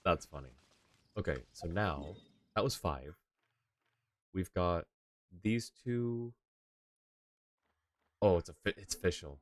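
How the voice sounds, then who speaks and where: A man's voice: sits low, animated, takes it slowly, long pauses, dry recording. A man commenting live on a game he plays, muttering to himself and reading on-screen text. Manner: laughs easily, mutters to himself while playing. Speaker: game streamer. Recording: game music behind. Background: game music.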